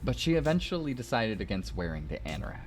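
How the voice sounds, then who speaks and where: Male streamer, low pitch, lively intonation, narration with casual asides, background music. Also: fast talker. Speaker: streamer reading a visual novel aloud.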